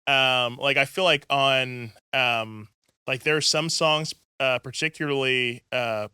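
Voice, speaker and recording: A man's voice: mid-range voice, steady tone, slow, sharp tone, clear, resonant tone, no room sound, studio quality. Chatty man hosting, full of likes. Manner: chatty, rambling, heavy use of "like" and "um". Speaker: podcast host. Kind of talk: casual interview chat.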